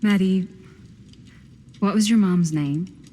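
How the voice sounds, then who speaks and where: An actress playing a composed, probing middle-aged woman in a video game, measured and dryly serious. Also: mid-range voice, mellow, slight background noise, close to an everyday voice. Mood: gentle, regretful. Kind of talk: naturalistic video game acting.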